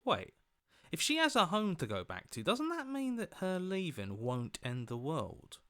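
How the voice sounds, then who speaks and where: A man's voice: on the high side, huge pitch swings, measured pace, pushed and bright, clean tone, exaggerated voice. A man voicing an earnest, questioning heroic inner voice in a video game, reasoning things out as he talks. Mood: puzzled, questioning.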